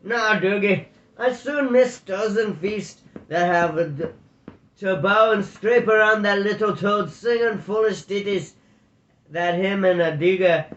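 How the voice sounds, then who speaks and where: A man's voice: high voice, unhurried, fairly bright tone, pitched above the performer's own voice. A man voicing a tall, powerful male squirrel warrior with a Scottish Borders accent, lazy and roguish. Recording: echoey room, dull lo-fi sound.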